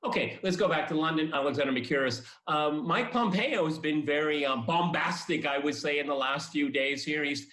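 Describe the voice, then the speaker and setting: Male talk show host, slightly high-pitched, halting and emphatic political discussion, roomy echo. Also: a bit bright. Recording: echoey room.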